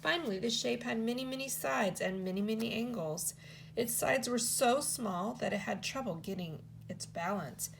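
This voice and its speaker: A woman teacher reading a children's picture book aloud in an expressive storytelling style. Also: normal speed, creaky, a little hiss or hum, low-quality recording. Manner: expressive read-aloud with lots of pitch movement.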